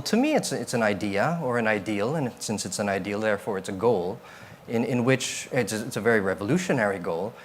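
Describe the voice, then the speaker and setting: A man's voice: lowish voice, slight background noise. A man speaking as a panelist, reflective and searching for words. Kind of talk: panel discussion.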